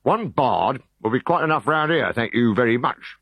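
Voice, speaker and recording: A man's voice: high voice, big pitch swings, moderate pace, creaky, slightly rough, no room sound, lo-fi sound, pitched above the performer's own voice, exaggerated voice. A man voicing a blustering, bossy Roman officer in a storybook reading. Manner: shouting, exasperated.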